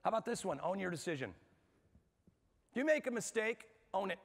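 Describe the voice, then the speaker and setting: A man giving a lively workshop talk to a live audience, asking them questions and talking in a conversational way. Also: high voice, a little fast, creaky. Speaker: speaker at a management workshop. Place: large hall with an audience. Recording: long hall reverb.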